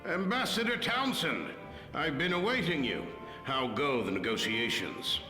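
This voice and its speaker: A domineering, scheming ruler in middle age, lecturing in a commanding way. His tone is bright and sharp, with lively swings in pitch and an unhurried pace. A roomy reverb and soft game music sit around him. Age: middle-aged. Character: older male rebel leader turned archduke, scheming and domineering. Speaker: game character. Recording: reverberant with game score behind.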